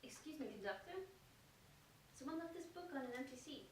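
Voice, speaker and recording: Earnest reader, high, roomy sound. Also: female voice, expressive, a little fast. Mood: polite. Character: polite, earnest female train passenger and reader. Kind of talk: radio play acting, storybook narration. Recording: roomy, distant mic, faint noise.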